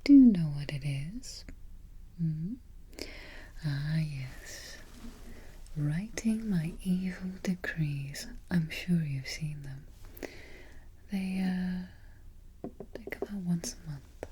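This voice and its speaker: Woman playing a whispering, unhurried evil queen. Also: low voice, mellow, a little hiss or hum. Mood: quietly imperious. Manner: unhurried, exacting, politely imperious. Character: prim, haughty evil queen instructing her new helper. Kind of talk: soft-spoken whispered ASMR roleplay. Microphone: close ASMR microphone.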